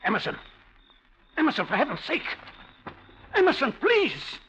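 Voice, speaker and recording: A man's voice: extremely high pitch, huge pitch swings, normal speed, pushed and bright, heavily acted. An actor playing a nervous, guilty male professor who stammers evasively in 1940s radio style. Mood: panicked, pleading. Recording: muffled old radio recording, faint noise.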